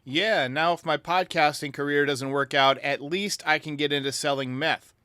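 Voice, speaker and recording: A man's voice: a little high, fairly even intonation, moderate pace, sharp tone, clear, resonant tone, dry recording, clean studio sound. A man hosting a sports podcast, giving commentary in reply to fans. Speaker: podcast host. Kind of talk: sports commentary answering fan voicemails.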